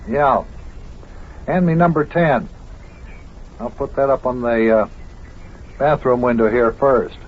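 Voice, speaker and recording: A man's voice: mid-range voice, slow pace, pauses often. An actor playing a good-natured, patient man of the house in an old-time radio show, speaking plainly and mildly. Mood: easygoing, puzzled. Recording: noisy, dull old radio recording.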